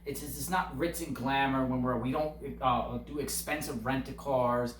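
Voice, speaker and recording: A man's voice: medium pitch, fairly even intonation, moderate pace. A man giving earnest, casual interview answers, repeating phrases for emphasis. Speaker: online video creator, interviewee. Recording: echoey, distant mic, faint noise.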